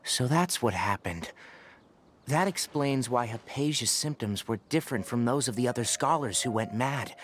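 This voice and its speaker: A man playing a calm, thoughtful young forest scholar in a video game, speaking in a measured, articulate way. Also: mid-range voice, natural voice. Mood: inquisitive.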